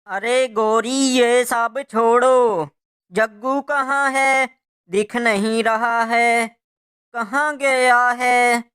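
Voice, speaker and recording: Man voicing a weary father crow, very unhurried. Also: very high voice, fairly even intonation, clear, resonant tone, exaggerated voice. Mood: worried. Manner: weary, worried, gentle with family. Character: tired, ailing adult male crow, caring father. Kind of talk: Hindi cartoon moral story dubbing.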